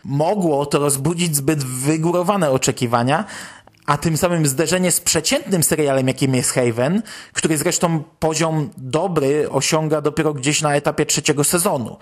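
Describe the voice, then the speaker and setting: Man, fairly high voice, podcast host, conversational commentary. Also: normal speed.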